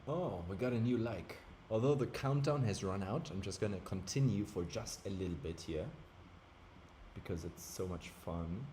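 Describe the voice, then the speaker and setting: A man's voice: on the low side, moderate pace, warm tone, a little hiss or hum. A young man from Germany with a German accent tinged by British and American sounds, chatting casually as he thinks aloud during a live stream. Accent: German with British and American traces.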